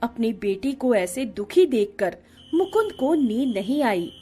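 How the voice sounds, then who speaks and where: A woman narrates a scripted tale. Her voice sits high, in a storyteller's delivery, and light music plays faintly behind her. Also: sing-song, measured pace, warm tone.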